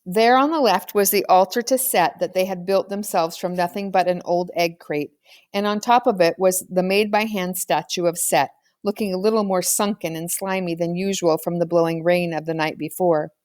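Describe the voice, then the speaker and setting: A woman's voice: lowish voice, steady tone, moderate pace, a little mellow, dry recording, studio quality. A woman reading a children's novel aloud as scripted storybook narration. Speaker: audiobook reader.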